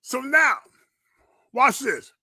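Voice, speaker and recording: Fiery, high-pitched male preacher. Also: big pitch swings, extremely slow, gravelly, pushed and bright, breathy edge, no room sound, clean studio sound. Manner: indignant, emphatic, repeats phrases, rhetorical questions. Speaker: pastor and online show host. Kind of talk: fiery live-stream commentary, preacher's cadence.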